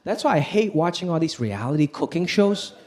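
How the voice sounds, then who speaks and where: High, lively male stand-up comic. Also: brisk. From Hong Kong. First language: Cantonese. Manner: animated, swings into loud high shouting for bits. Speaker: stand-up comedian. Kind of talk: stand-up comedy set. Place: comedy club stage with a live audience. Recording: live club crowd audible.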